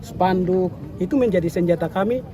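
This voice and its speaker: A man with a Papuan Indonesian accent giving an interview statement as an activist leader, haltingly, with broken-off words. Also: sits high. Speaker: organisation chairman, activist. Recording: outdoor interview with clear traffic noise.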